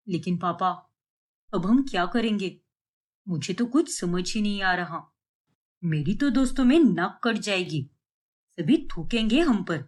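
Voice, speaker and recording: Lady as worried young groom guy in a cartoon story, plaintive, earnest, expressive pitch. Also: measured pace, long pauses. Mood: distressed. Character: anxious young bridegroom, proud but obedient to father.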